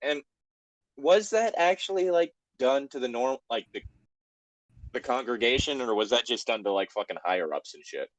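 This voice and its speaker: A man's voice: mid-range voice, lively intonation, moderate pace, dry recording, poor audio. A man hosting an online show in casual, rambling banter, self-deprecating and prone to swearing.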